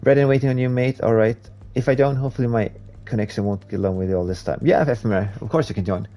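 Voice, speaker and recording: A man's voice: mid-range voice, rapid-fire, dark tone. A man from Sweden, English not his first language, chatting casually to viewers and reading comments while he races on a live stream. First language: Swedish. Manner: chatty, laughs at himself, reads chat aloud.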